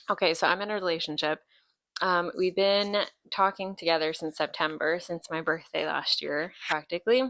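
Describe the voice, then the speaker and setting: A woman in her late twenties hosts a relaxed podcast chat, her voice sitting a little low for a woman. She drifts from one tangent to the next, filling pauses with ums. The sound is close and dry. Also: dry recording. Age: 20s. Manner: chatty, rambling, with many ums and tangents. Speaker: podcast host. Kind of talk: casual chat.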